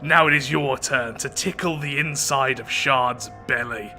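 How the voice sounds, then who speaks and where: A man voicing a menacing female dragon who taunts and scolds in a middle-aged smoker's rasp, in a game roleplay. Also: medium pitch, a little slow, pushed and bright, soft background music. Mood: gloating menace.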